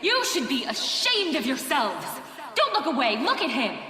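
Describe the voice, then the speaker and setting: A woman's voice: sits high, big pitch swings, normal speed, bright, forceful tone, heavily acted. A woman playing a fiercely protective, indignant woman in a video game, scolding with outrage. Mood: outraged. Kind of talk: scripted video game acting. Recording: distant, long echo under music.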